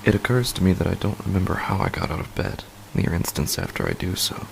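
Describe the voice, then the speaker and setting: Man playing a deep, creaky-voiced, frightened horror narrator. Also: huge pitch swings, noisy background, heavily acted. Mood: uneasy. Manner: halting, hushed, dropping into whispers. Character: frightened sleepless husband narrating his creeping nighttime horror. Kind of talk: scripted horror fiction narration, first person.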